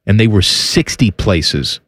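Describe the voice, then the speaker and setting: A man's voice: lowish voice, lively intonation, slow pace, fairly bright tone, no room sound. A man co-hosting a casual podcast chat with dry, teasing humor. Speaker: podcast co-host.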